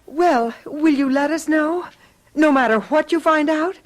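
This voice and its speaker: An actress playing an anxious, hesitant wife in a radio drama, speaking in a halting, emotional way. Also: female voice, high voice, low-quality recording. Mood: worried. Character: anxious, hesitant wife worried about missing neighbours. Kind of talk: old-fashioned radio drama acting.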